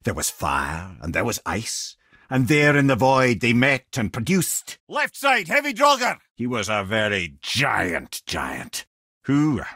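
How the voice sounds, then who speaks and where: A clever old Scottish talking-head advisor from a video game. He is an eloquent, wry storyteller with a husky grain and very lively pitch. The sound is close and dry, with no room to it. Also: slow pace, no room sound, full character voice. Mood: urgent warning. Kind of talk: video game character voice lines.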